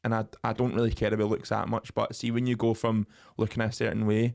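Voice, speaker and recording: A man's voice: on the low side, fast talker, vocal fry. A young man co-hosting a podcast, telling stories in casual chat with plenty of swearing and jokes. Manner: swearing, joking, animated storytelling. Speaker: podcast co-host.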